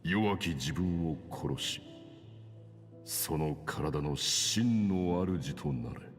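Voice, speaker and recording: Brief: stern male mentor in a game, very deep and commanding, distant with music behind. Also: lively intonation, slow pace, full character voice. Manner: grave and commanding. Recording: distant with long reverb over music.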